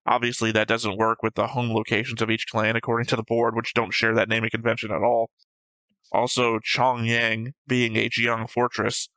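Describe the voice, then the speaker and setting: A man's voice: medium pitch, completely flat delivery, moderate pace, pushed and bright, dry recording, poor audio. A man in a casual discussion, arguing his points and swearing now and then as he analyses a show. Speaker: host of an anime reaction show.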